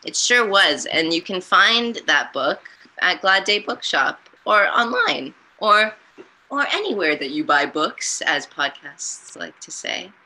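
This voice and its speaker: A woman reading a children's storybook aloud and commenting in a gentle, encouraging way. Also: fairly low pitch, very animated, slow pace, rough recording. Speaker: storytime reader and host.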